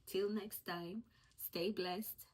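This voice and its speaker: A woman's voice: mid-range voice, steady tone, extremely slow, rough recording. A young woman from East Africa, a Swahili speaker, giving an encouraging faith-based vlog talk in a conversational way that repeats its key phrases. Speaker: vlogger.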